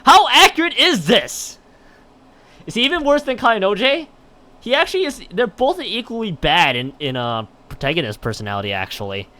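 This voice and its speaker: A man's voice: high-pitched, sing-song, moderate pace, sharp tone. A man on a live game stream, reading a visual novel aloud with stumbles and exasperated asides. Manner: stumbles and repeats words while reading.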